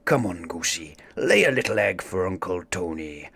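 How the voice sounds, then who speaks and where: A man voicing a simple, rough pirate crewman with rustic talk in a children's storybook. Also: low-pitched, sing-song, moderate pace, a bit bright, no echo, heavily acted. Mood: coaxing.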